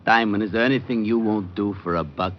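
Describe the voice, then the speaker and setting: An American actor playing a surly, dim-witted police sergeant with mocking, clumsy speech in an old-time radio drama. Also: male voice, fairly low pitch, fast talker, natural voice. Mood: sneering. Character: oafish, surly, sneering male police sergeant. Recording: muffled, noisy vintage radio recording.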